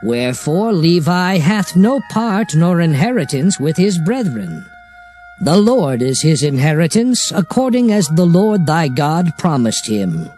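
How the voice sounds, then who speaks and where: An actor playing Moses as a solemn prophet exhorting his people in a declamatory, measured dramatized scripture reading. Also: fairly high pitch, big pitch swings, darkish tone. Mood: solemn, recounting. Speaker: scripture narrator. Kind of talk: dramatized Bible reading in archaic English. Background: music under the voice.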